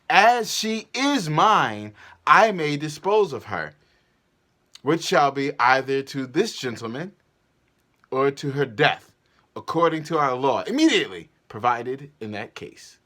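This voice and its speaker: A man's voice: medium pitch, sing-song, a little slow, bright, forceful tone. A man playing a stern, vexed older father in a declamatory Shakespearean stage reading. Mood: indignant. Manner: declamatory, indignant, emphatic. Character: stern, vexed old father demanding his ancient rights.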